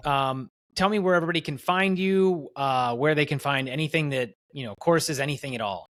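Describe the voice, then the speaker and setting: A man's voice: fairly high pitch, a little fast, fairly bright tone. Easygoing male podcast host. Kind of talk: casual podcast interview.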